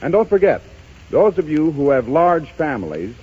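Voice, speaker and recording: Husky, animated American radio pitchman. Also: normal speed. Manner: persuasive, emphatic. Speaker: commercial announcer. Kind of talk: 1930s radio advertisement, scripted. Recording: muffled, rough 1930s radio recording.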